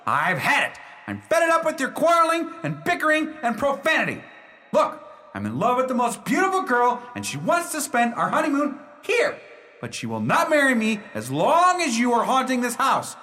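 An actor playing an exasperated, lovestruck young bridegroom in a radio play, earnest, wordy and theatrical. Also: male voice, extremely high pitch, wildly swooping pitch, moderate pace, pushed and bright, exaggerated voice. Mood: exasperated, commanding.

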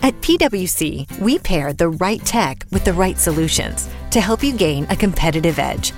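Lady reading a corporate ad, voice a bit low, faint music under it. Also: lively intonation, moderate pace. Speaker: advertisement announcer. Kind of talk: scripted corporate ad read.

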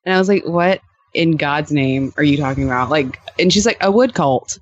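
A woman's voice: sits low, normal speed, muffled recording, a little hiss or hum. A woman with an American accent that slips into East Texas Southern, chatting casually and acting out her anecdotes as a podcast guest. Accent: American, East Texas-style Southern that slips in. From Louisiana, US. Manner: animated storyteller who acts out quoted dialogue. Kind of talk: casual chat, telling anecdotes.

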